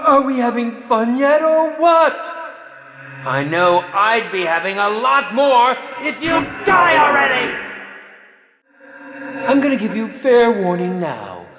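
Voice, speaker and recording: Game villain: sadistic male movie director, highish, slow theatrical menace, drenched in reverb. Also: exaggerated voice. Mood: taunting, gleeful. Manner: flamboyant, drawn-out, swinging from hushed to shrieking. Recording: echoey reverberant hall with background noise.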